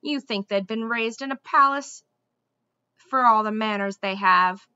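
A woman's voice: fairly high pitch, unhurried, bright, forceful tone, clear, resonant tone, no echo, lo-fi sound. A woman voicing a fussy, indignant, scolding fat squirrel in a storybook, huffy, emphatic and full of complaint. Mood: aggrieved. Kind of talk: audiobook character voice.

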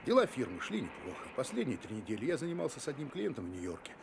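A man's voice: mid-range voice, huge pitch swings, very fast, vocal fry. An actor playing an informal private detective in a radio drama, speaking in clipped, conversational phrases as he thinks aloud. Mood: matter-of-fact, clipped. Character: male private detective, informal, speculative, shaken but composed. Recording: noisy old radio drama recording.

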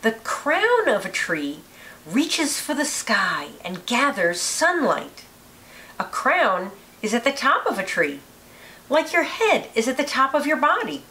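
A woman reading a children's picture book aloud with deliberate, expressive phrasing. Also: high voice. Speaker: read-aloud narrator, teacher.

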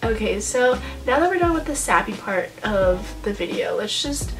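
A woman's voice: mid-range voice, very animated, moderate pace, a bit bright, soft background music, low-quality recording. A teenage young woman with an American accent chatting casually to camera in an informal, self-deprecating way full of slang. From Honolulu, Hawaii, United States. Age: teenager, about 16 to 17. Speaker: vlogger. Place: small bedroom, indoors.

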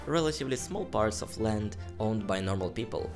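A man in his twenties with a Russian accent, casually narrating a tour in a relaxed, chatty way with slightly hesitant phrasing. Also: on the low side, fairly quick, music in the background. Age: 20s. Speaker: vlogger giving a house tour. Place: outdoor garden plot. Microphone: phone at arm's length.

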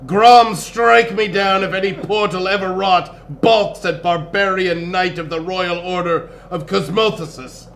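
A man's voice: high-pitched, steady tone, a little slow, hardly any pauses, fairly bright tone, a little hiss or hum, pitched above the performer's own voice. A man voicing a giant, boastful, belligerent barbarian with bellowing, growling archaic bombast in a fantasy story. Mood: gruff defiance.

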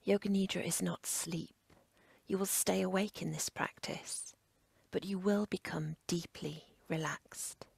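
A woman leads a guided relaxation in a very creaky, husky near-whisper. Her delivery is unhurried and soothing, laid out in short measured phrases. The pitch barely moves as she instructs. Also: fairly even intonation, darkish tone, no echo. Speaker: yoga nidra instructor.

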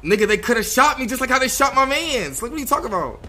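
A man's voice: extremely high pitch, sing-song, fast talker, sharp tone. A man streaming a roleplay game, giving heated, slangy commentary in an exasperated, repetitive rant.

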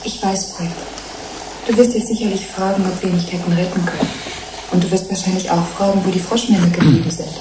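A woman's voice: on the low side, steady tone, fairly quick, natural voice. A woman playing a young genetically engineered fish-human, an earnest, resentful and melancholy test subject in a radio play. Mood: weary, knowing. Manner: earnest, accusing. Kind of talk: German radio play acting. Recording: echoey, noisy played-back film recording.

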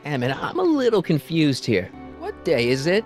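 An actor playing an earnest, anxious teenage time traveller in a video game, casual and wry with some hesitancy. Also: male voice, fairly high pitch, wildly swooping pitch, normal speed, faint music behind. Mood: worried. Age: young adult.

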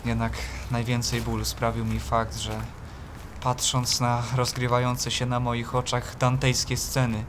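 An actor playing a shaken young seminarian, narrating an audio play in an earnest, literary and troubled manner. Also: male voice, medium pitch, completely flat delivery, a little slow, noisy background, close to an everyday voice. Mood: anguished. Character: shaken young male seminarian from a palace, narrating his journey. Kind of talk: dramatic first-person narration in an audio play. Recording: prominent music behind the voice.

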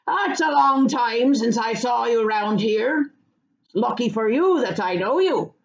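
A broad, red-faced hobbit farmer from a fantasy storybook, acted out by a woman reader. He is gruff and blunt but hearty, talking loudly in homely country phrases. The sound is a little lo-fi. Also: a little high, animated, slow, roomy sound, voice pushed up, heavily acted. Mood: surprised, hearty. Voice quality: loud, hearty. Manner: rustic, colloquial, blunt turns of phrase.

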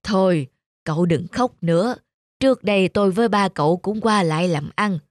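A woman voicing a kindly middle-aged male cloth merchant, calm and encouraging, in an animated folktale. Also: medium pitch, moderate pace, dry recording, clean studio sound, close to an everyday voice. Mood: reassuring. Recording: music under the dialogue.

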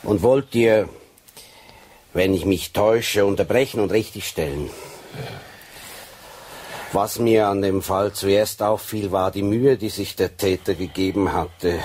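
An actor playing a seasoned, shrewd Swiss police sergeant in a radio play, authoritative and plain-spoken. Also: male voice, deep voice, a little mellow, a little hiss or hum.

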